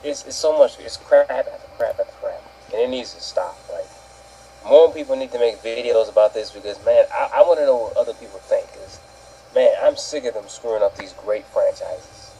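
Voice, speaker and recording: A man's voice: medium pitch, mellow, poor audio. A man on a discussion panel giving a casual, blunt and crude rant, repeating words for emphasis. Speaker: co-host on an online opinion panel. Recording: clear background noise.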